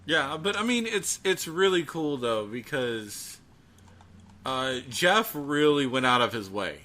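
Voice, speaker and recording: A man co-hosting a casual podcast, talking in a chatty, halting way. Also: mid-range voice, big pitch swings, bright, forceful tone. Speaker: podcast co-host.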